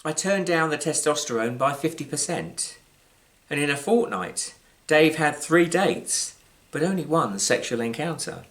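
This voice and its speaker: A British man with a slightly high voice reads literary fiction as its author. His delivery is measured and wry, leaning on dramatic pauses. Emphasis shifts from phrase to phrase. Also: expressive, fairly bright tone. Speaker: author reading his own short story. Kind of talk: scripted literary storytelling, live reading.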